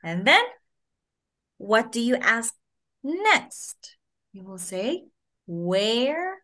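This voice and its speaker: A woman in her twenties from the Philippines, teaching English to a child over a video call and modelling phrases slowly in a sing-song way. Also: a little high, big pitch swings, sharp tone. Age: 20s.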